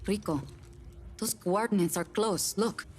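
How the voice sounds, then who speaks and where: A woman's voice: mid-range voice, measured pace, a little mellow, a little hiss or hum, lightly acted. A woman playing an earnest, focused rebel leader in a video game, briefing in a businesslike way. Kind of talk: video game cutscene acting.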